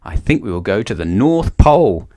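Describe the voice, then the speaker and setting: A man's voice: on the low side, very animated, normal speed, dark tone. A man voicing a cheerful, adventurous male cloud creature in a children's storybook, jaunty and inviting.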